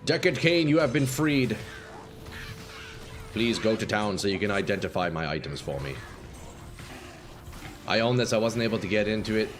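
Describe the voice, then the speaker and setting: A man streaming a game live, chatting casually with viewers, swearing and reacting loudly, his voice going a little hoarse. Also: on the low side, expressive, normal speed, long pauses, a little hiss or hum. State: slightly hoarse, losing voice. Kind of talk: casual live-stream chat while playing. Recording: faint game music behind.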